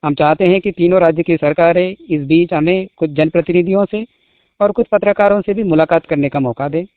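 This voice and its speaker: A man reading out a formal statement as a spokesman, in measured short phrases, over a phone call. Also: a little high, dry recording. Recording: muffled phone-line call recording.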